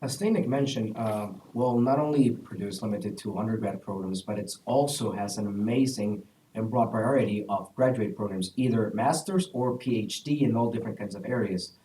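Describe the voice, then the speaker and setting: A young man from El Salvador with a mellow, warm voice answers panel questions earnestly and drops in an "uh" here and there. He sounds set back from the mic in a roomy, echoey space. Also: on the low side, moderate pace. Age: young adult. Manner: earnest, recommending things with frequent "uh" fillers. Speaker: student panelist. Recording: echoey room, distant mic.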